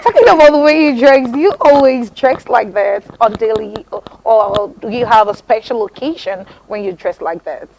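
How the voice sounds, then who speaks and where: A chatty woman from Nigeria hosts a breakfast show in a television studio, telling anecdotes and tossing in quick asides. Her voice sits a little high, over a faint background hiss. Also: expressive, creaky, a little mellow, poor audio. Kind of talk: studio talk show conversation.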